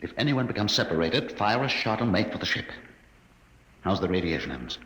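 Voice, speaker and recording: Casting: clipped, authoritative space captain, low, very animated delivery, old radio serial. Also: male voice, fairly quick. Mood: commanding. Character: commanding, cautious male spaceship captain. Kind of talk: 1950s radio drama acting. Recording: very noisy old radio broadcast recording.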